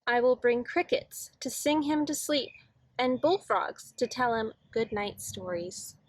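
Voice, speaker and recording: A woman's voice: a little high, expressive, a little slow, no echo. A woman reading aloud as an eager, imaginative little boy in a children's picture book, earnest and dreamy.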